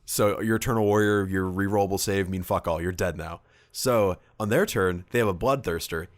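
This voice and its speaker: A man with a very low voice hosts a casual, conversational podcast. He recounts things in a wry, self-deprecating way and swears freely. The sound is dry, with no room echo. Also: fairly quick. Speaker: podcast host. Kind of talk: casual chat recounting tabletop wargame battles.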